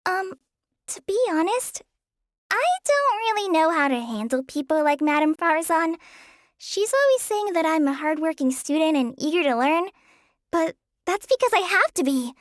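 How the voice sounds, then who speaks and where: A woman's voice: very high-pitched, lively intonation, no echo, studio quality. A woman voicing a shy, earnest teenage girl in a video game, hesitant and apologetic in the way she talks. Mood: hesitant, modest. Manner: hesitant, apologetic, soft-hearted, halting with stammers. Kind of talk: video game character voice lines.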